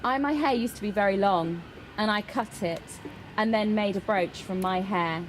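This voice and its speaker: Over clear background noise, a woman gives a live spoken interpretation of a personal statement. Her voice sits at a medium pitch, and she delivers it phrase by phrase, with halting pauses. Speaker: interpreter voicing a Deaf signer.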